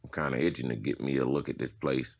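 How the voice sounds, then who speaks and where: Tough male convict for a comic drama, played by a male actor: extremely low voice, slangy, foul-mouthed, laid-back phrasing. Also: fairly even intonation, quick pace, highs cut off. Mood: wry, matter-of-fact. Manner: casual, slangy, profane. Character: tough, streetwise adult male prison inmate giving a tour. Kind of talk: comic-book dramatization, character acting.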